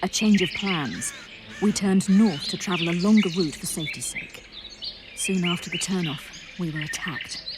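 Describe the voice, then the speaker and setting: A woman's voice: on the low side, normal speed, very noisy, close to an everyday voice. A woman playing a guarded, shaken survivor in a fantasy video game, speaking earnestly and somewhat formally. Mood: grim recollection. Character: guarded, shaken female survivor and protector of royal children. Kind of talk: dramatic video game acting. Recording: music behind the voice.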